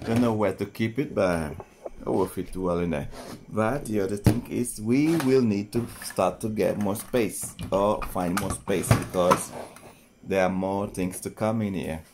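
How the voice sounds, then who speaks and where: A man with a fairly low voice talks very slowly and casually to young viewers about his wooden train set. He is recorded on a phone in an indoor playroom, with faint background hiss and toys clicking as he handles them. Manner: slow, unhurried, talking to children viewers. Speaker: toy train channel host. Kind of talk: casual toy showcase narration. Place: indoor playroom with shelves and toy train table.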